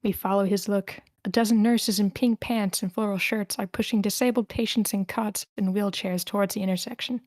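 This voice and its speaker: A woman's voice: medium pitch, steady tone, brisk, few pauses, a little mellow, no room sound. A woman reading the stage directions of a screenplay as scripted narration at a table read.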